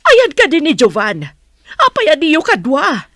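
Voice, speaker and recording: A demanding, anxious madam in a radio drama. Her voice is high and very animated. She questions sharply, like a mother used to being answered. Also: measured pace, exaggerated voice.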